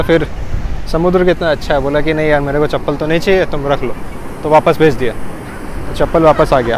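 A man's voice: a little high, slight background noise, low-quality recording. A young man chatting casually to camera as a travel vlogger, teasing his family and dropping English phrases into his talk, filmed at arm's length on a lapel mic by the sea. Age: young adult. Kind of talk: casual vlog chat. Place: windy beach outdoors, then beach cafe. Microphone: lapel mic, selfie camera held at arm's length.

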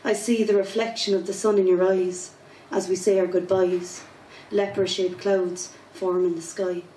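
A woman's voice: mid-range voice, little pitch movement, normal speed, warm tone, slight background noise. A woman in her thirties with a Cork Irish accent, chatting casually and then reciting her poems in a rhythmic, self-deprecating way on a pub stage. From Ireland. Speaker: spoken word poet. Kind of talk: casual interview and poetry performance. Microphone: handheld mic, far camera. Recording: echoey pub from far off.

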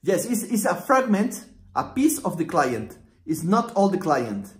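A man in his forties, a native Spanish speaker, explaining a consulting method at a whiteboard with many pauses and restarts. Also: high voice, big pitch swings. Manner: halting, many fillers and restarts, acts out client dialogue. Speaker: systemic consultant teaching a method. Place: small room with whiteboard, indoors. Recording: echoey room.

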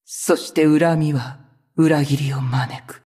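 Slow, breathy, vengeful sorceress. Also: female voice, sits low, fairly even intonation, mellow, heavily acted. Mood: cold, scornful. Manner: cold, haughty, deliberate. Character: proud, vengeful sorceress who betrayed her cursed clan. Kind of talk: video game character voice lines in Japanese.

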